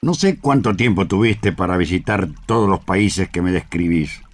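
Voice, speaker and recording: An actor playing an imperious, skeptical emperor in a literary radio drama, with a theatrical, incredulous manner. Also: male voice, low voice, normal speed, close to an everyday voice.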